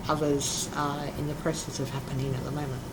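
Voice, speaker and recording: A man talking as a guest in a casual interview, hesitant and halting with frequent restarts. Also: a little high, little pitch movement. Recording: noisy background.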